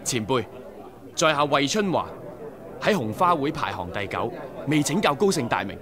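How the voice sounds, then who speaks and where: A man's voice: medium pitch, very animated, moderate pace, crowd noise, natural voice. A man with a Hong Kong Cantonese accent playing a respectful young member of a rebel society in a radio drama. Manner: respectful, eager, formal greetings. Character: courteous young male sworn brother of a rebel society. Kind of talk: 1990s radio drama acting.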